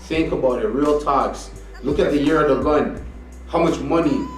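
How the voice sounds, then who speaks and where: An argumentative man presses his point hard, swearing and circling back to the same lines. His voice sits at an ordinary middle pitch. He is recorded in a very echoey room, a bit away from the mic, with faint music under him. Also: normal speed, far from the mic. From Canada. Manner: insistent, argumentative, swears often, repeats "you know what I mean". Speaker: podcast co-host. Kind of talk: heated casual debate.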